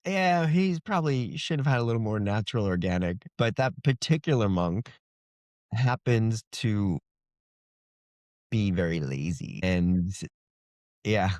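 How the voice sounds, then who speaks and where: A man's voice: fairly low pitch, a little mellow, no room sound, clean studio sound. A man speaking as a fantasy author in a casual interview, easygoing and explanatory in his delivery.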